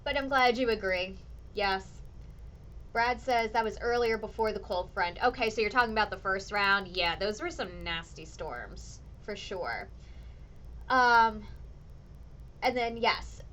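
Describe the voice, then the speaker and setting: A woman meteorologist with a slightly hoarse voice, chatting casually with viewers on her weather show. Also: medium pitch, measured pace, muffled recording. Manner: animated, ranting, chatty with viewers. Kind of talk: casual livestream chat answering viewers.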